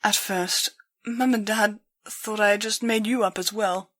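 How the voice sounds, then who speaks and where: A woman's voice: mid-range voice, steady tone, a little slow, a bit bright. A woman voicing a hurt female pony, insistent and strained as she confronts an old friend in a story. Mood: remembering.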